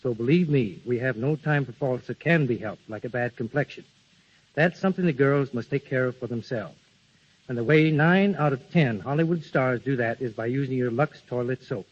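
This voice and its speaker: A man's voice: medium pitch, moderate pace. A man giving a scripted guest endorsement on 1930s radio. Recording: muffled vintage 1930s radio broadcast.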